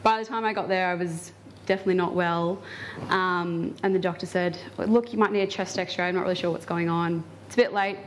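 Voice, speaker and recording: A woman's voice: on the low side, steady tone, a little fast, slight background noise. A woman telling an audience about her own experience, casual and hesitant with many fillers. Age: 20s. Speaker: invited speaker. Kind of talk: personal account to an audience.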